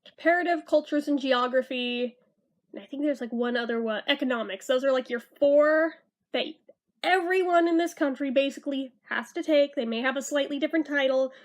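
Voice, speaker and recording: A young woman from California with an American accent and a high-pitched voice talks in a chatty, informal way. A slight lisp shows in her speech as she gives advice straight to her viewers. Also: brisk. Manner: chatty, informal, self-deprecating. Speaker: vlogger giving school advice. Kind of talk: casual advice talk to camera.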